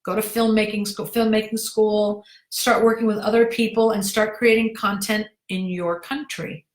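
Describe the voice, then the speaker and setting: A woman's voice: medium pitch, flat delivery. Direct woman coach in an echoey room. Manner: direct, brisk, reads out viewer comments. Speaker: acting career coach. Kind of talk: live Q&A answering viewer questions.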